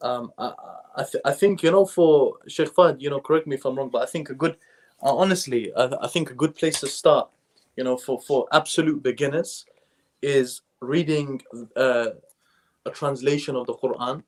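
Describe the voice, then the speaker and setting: A man on a live-stream panel speaking hesitantly and softly, with many restarts and fillers. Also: mid-range voice, moderate pace. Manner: hesitant, restarts often, soft-spoken. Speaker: panel guest. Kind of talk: casual discussion.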